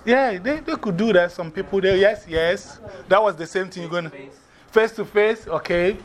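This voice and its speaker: High-voiced Ghanaian man teaching a class. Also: moderate pace. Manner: lively, repeats and spells out words, laughs often.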